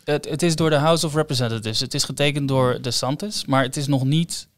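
A man speaks as a podcast co-host in casual, explanatory conversation. His voice sits at a medium pitch, and the recording is dry, with hardly any room sound. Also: fairly quick, dry recording.

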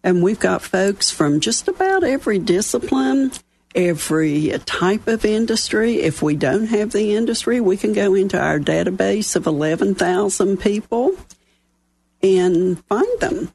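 An older woman from West Virginia with a strong Southern accent, talking as a radio guest in a studio. Her voice has a gravelly edge and a husky texture. She tells her story in a folksy, self-deprecating way. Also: medium pitch, animated, unhurried, a little mellow. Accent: Southern American. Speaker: radio show guest, chapter chair. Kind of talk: radio interview. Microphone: studio microphone.

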